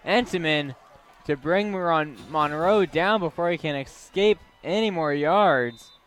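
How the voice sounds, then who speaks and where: A young man with a somewhat high voice works as color commentator on a live game. He reacts to the plays and talks through what the athletes did. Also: a little slow, a bit bright, clear, resonant tone.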